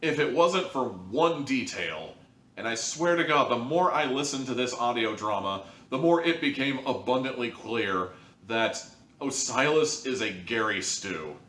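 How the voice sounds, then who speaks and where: A man's voice: medium pitch, lively intonation, a little slow, fairly bright tone. A man hosting an opinionated review, speaking in an exasperated, emphatic way. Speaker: host, audio drama reviewer. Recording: echoey room, distant from mic.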